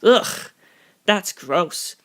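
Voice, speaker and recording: A squeamish, slangy young man played by an audiobook narrator with a blunt way of talking. He sounds casual and exclamatory, pitched a bit above the narration. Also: animated, very slow, fairly bright tone. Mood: disgusted, blunt.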